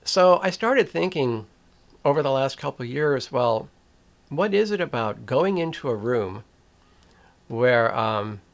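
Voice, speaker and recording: An older man hosting a commentary video, talking straight to the camera in a slow, reflective way, with pauses, restarts and a wry edge. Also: medium pitch, animated. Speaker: video essay host.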